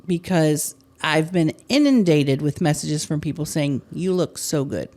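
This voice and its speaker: Woman podcast host, low voice with a lot of movement in her pitch, candid, casual chat. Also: measured pace. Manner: candid, animated, swings between loud and soft.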